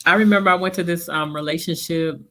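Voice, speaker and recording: Bright-voiced woman, sniffly from a cold. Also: fairly low pitch, fairly even intonation, quick pace. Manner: chatty, personal, laughs easily. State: getting over a cold, sniffly. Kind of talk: casual panel conversation.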